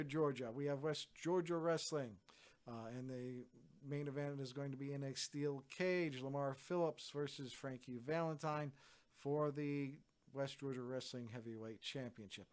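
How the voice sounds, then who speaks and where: A man hosting his own weekend events roundup from home, chatty and relaxed, with plenty of filler words and dry little asides. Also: medium pitch. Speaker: host of a wrestling events roundup show. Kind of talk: casual solo roundup, talking to camera.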